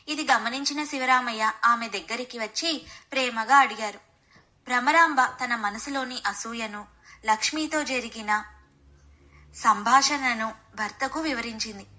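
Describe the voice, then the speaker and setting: A woman's voice: fairly high pitch, bright, forceful tone. A woman narrating a scripted moral bedtime story as the storyteller.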